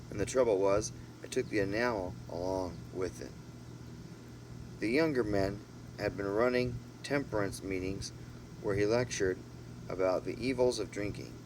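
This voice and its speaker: A man reading a storybook aloud in an informal way, sometimes stumbling and correcting himself. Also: on the low side. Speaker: amateur audiobook reader. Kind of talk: storybook narration with asides. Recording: noisy home recording with steady hum.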